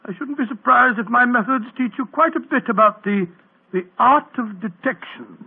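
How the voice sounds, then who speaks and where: An actor playing a bumbling, self-important older doctor sidekick with a gravelly voice. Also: male voice, very high voice, moderate pace, full character voice. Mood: blustering. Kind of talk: 1940s radio acting. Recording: tinny old radio broadcast.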